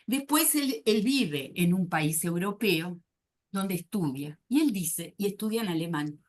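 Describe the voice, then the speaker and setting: A woman psychoanalyst speaking on a conference panel, thoughtful and often pausing to search for words. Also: fairly low pitch, lively intonation. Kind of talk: academic conference talk.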